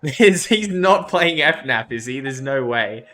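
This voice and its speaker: A man's voice: mid-range voice, expressive, measured pace, pushed and bright. A man streaming a game, chatting casually in a sweary, argumentative, teasing way. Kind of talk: casual banter while livestreaming a game.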